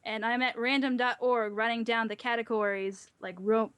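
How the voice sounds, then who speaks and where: A woman's voice: a little high, steady tone, moderate pace, a bit bright, clear, resonant tone, dry recording. A woman hosting a casual group chat over an online call, bossily steering everyone along. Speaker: podcast host.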